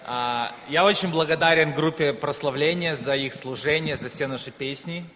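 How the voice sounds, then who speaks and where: A male preacher from Ukraine, a native Russian speaker, with a slightly high voice. He delivers his sermon in short phrases with space between them, and the church hall rings with long reverb. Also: moderate pace, noisy background. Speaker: guest preacher.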